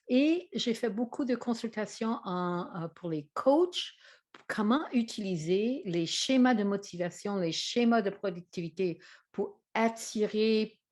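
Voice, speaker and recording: A woman's voice: medium pitch, darkish tone. An older woman with an English Canadian accent, a native English speaker, presenting as a trainer and consultant in a hesitant way with frequent fillers. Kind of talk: presentation to camera.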